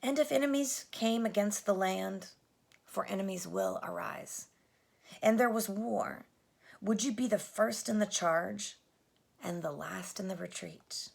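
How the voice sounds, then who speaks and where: A woman voicing a kindly, solemn great lion king, speaking in a grave, measured, hushed way. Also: mid-range voice, vocal fry, husky, heavily acted.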